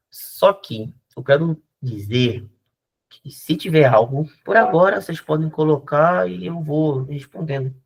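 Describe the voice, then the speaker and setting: Um homem do Rio de Janeiro dá uma aula informal de história por videochamada, com a voz um pouco aguda. Fala de forma descontraída, recomeça frases e vive perguntando "tá?" aos ouvintes. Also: male voice, on the high side. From Rio de Janeiro, Brazil. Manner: chatty, frequent restarts and tag questions. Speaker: history teacher giving a talk. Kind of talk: informal lecture on a video call. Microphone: video call microphone.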